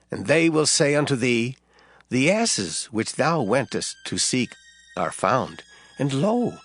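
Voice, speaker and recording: An actor playing an authoritative, assured prophet in dramatized scripture, solemn, declamatory and deliberate. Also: male voice, mid-range voice, fairly bright tone, slightly rough, exaggerated voice. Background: background music.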